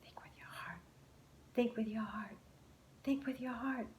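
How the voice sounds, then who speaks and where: A woman's voice: a little high, fairly even intonation, a little slow, darkish tone, a little hiss or hum, voice pushed up, natural voice. Woman voicing an earnest little storybook boy, roomy echo. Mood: whispered resolve. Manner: pleading, whining and whispering by turns. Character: Luke, earnest excitable young boy learning kindness. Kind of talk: children's storybook character voice.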